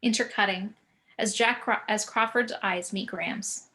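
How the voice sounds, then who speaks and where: A woman's voice: mid-range voice, fairly even intonation, normal speed, sharp tone. A woman with a mid-range voice reads stage directions aloud. It is scripted narration for a table read, with an occasional stumble.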